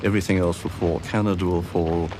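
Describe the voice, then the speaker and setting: A man's voice: deep voice, fairly even intonation, quick pace. A man explaining history as an expert interviewee in a documentary, with background noise in the recording. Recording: clear background noise, room ambience.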